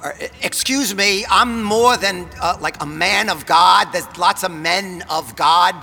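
An actor playing Jonah, an irritable, flustered prophet, in an audio drama. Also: male voice, sits high, a little slow, vocal fry, pushed and bright, soft background music, exaggerated voice. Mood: irritated. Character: exasperated, panicky man named Jonah, a prophet. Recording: long hall reverb, faint noise.

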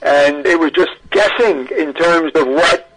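An older man as an interview guest, reminiscing in a halting way as he thinks aloud. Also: a little high, fairly bright tone, rough recording. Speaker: guest archivist.

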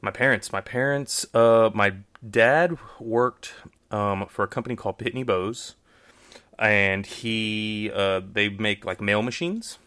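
A man's voice: fairly low pitch, slow, sharp tone, smooth. A young man with a Texan accent, a magician guest chatting casually in an interview, rambling with many fillers and restarts. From Texas, USA.

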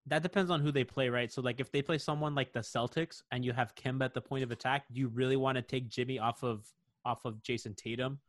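Relaxed guy chatting basketball, mid voice, dry mic, trails off soft. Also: medium pitch, fast, dry recording. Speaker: podcast co-host. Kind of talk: casual sports chat.

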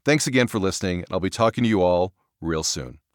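A man's voice: deep voice, lively intonation, fast, no room sound, clean studio sound. A man hosting a podcast, addressing listeners in a scripted outro. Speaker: podcast host.

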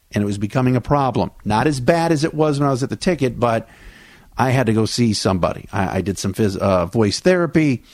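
A man's voice: mid-range voice, a little mellow, no room sound. A man, hosting a solo sports podcast in a chatty, conversational way, back on air after vocal cord surgery. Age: 40s. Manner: chatty, conversational, with frequent "uh" fillers. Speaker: podcast host.

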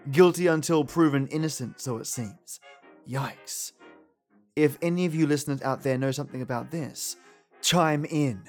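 A man hosting a podcast with chatty, playful, slightly theatrical commentary. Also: mid-range voice. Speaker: podcast host. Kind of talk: chatty commentary on old radio stories.

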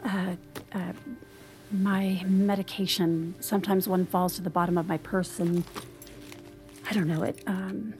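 A woman's voice: fairly low pitch, steady tone, unhurried, pauses often, darkish tone, soft background music. Anxious, flustered woman, hesitant. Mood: flustered, evasive. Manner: hesitant, apologetic. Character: anxious, flustered professional woman hiding a secret. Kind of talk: naturalistic audio drama acting.

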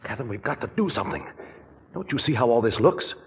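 Urgent scheming confidant, 40s radio drama, swoopy husky voice, echoey recording. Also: male voice, medium pitch, sing-song, fast. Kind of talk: 1940s radio melodrama acting.

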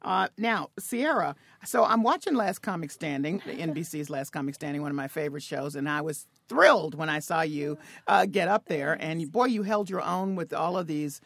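A woman hosting a radio interview with comedians, enthusiastic and quick to laugh. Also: sits low, creaky, dry recording. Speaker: radio host. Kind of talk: interview chat.

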